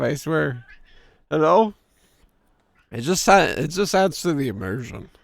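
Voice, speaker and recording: A man in his thirties with a sore, hoarse throat and a gravelly edge, talking slowly with a lively, swinging pitch. He streams a game and reads its story text aloud, sinking into a deeper storyteller voice. The sound is close and dry. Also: no echo. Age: 30s. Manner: drops into a deep storyteller voice for the narration.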